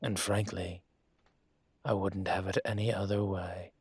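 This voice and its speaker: A man playing a suave, commanding dragon mafia boss in an intimate roleplay audio, teasing and deliberate, with a husky, breathy voice. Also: low-pitched, flat delivery, darkish tone, dry recording, exaggerated voice. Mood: charmed, flirtatious.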